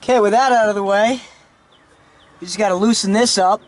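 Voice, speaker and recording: High-voiced man casually explaining a repair. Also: steady tone, a little fast, a little hiss or hum. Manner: informal, talking while working with his hands. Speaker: DIY car installation vlogger. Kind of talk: casual hands-on how-to narration. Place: inside a Jeep, handheld camera.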